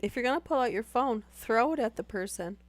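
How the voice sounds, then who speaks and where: A woman's voice: fairly high pitch, expressive, a little fast, dry recording. A young woman chatting casually with live viewers while losing her voice to a sore throat, rambling with many fillers. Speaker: streamer.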